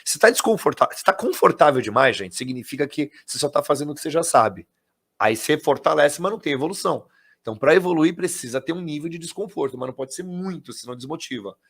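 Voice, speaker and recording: A man's voice: a little high, quick pace, vocal fry, husky. A man with a Brazilian accent, an English teacher chatting casually and talking straight to his viewers. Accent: Brazilian Portuguese. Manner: animated, talks straight to viewers as "gente".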